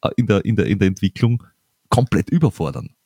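A very deep-voiced Austrian man with a husky edge, talking fast and with emphasis in a relaxed chat. The recording is close and dry. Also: dry recording. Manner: quick, emphatic, repeats words when starting. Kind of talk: casual conversation.

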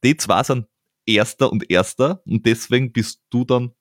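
A man's voice: mid-range voice, normal speed, dry recording, studio quality. A man hosting a podcast, chatting casually with many stammered restarts and laughs. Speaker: podcast host. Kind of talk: casual interview chat.

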